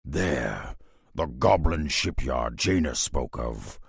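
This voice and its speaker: A man voicing a grim, loyal half-ogre beastmaster in a fantasy video game, with a gravelly growl and solemn, terse military speech. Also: rumbling low, animated, slow, no room sound, studio quality, exaggerated voice.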